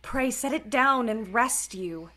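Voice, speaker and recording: An actress playing an innocent, tender-hearted, sheltered young maiden in a Shakespeare radio play, earnest and pleading. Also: female voice, a little high, expressive, slow pace, pushed and bright, heavily acted. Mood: tender concern.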